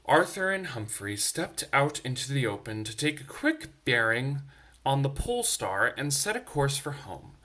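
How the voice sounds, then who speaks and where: Animated, bright-voiced man reading to children. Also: medium pitch, normal speed. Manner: expressive, dramatic. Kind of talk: animated bedtime story reading with asides.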